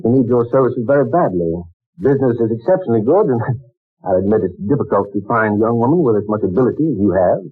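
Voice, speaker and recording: An actor playing a courteous, fussy old company boss who speaks in hesitant, old-fashioned formal phrases, in a 1940s radio drama. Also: male voice, medium pitch, lively intonation, fast, breathy edge, no room sound. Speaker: office manager interviewing a typist. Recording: tinny old radio broadcast, dry.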